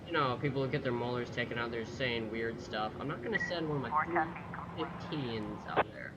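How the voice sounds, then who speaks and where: A man's voice: medium pitch, moderate pace, dull audio, heavy background noise. A man giving casual gameplay commentary as a video host, muttering to himself, swearing and reading text aloud.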